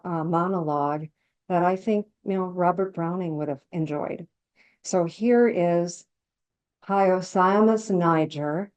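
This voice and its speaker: A woman's voice: lowish voice, dark tone. A woman giving a thoughtful spoken introduction as a poetry reader at a literary event. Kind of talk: hesitant, appreciative spoken introduction.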